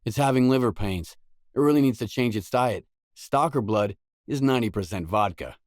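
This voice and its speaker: An actor playing a weary, laconic stalker in a video game, a hardened loner with a terse, guarded, deadpan delivery. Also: male voice, medium pitch, moderate pace, no echo, clean studio sound, natural voice. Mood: dry joke-telling. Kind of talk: isolated game dialogue lines.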